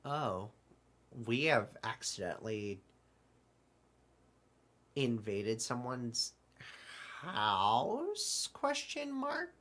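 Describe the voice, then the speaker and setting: A man acts out a flustered adventurer in an audio drama. His voice is bright and forceful, his pace unhurried, and he stumbles politely over his words as an excitable, apologetic traveler. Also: mid-range voice, exaggerated voice. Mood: baffled. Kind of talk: hobby audio drama dialogue.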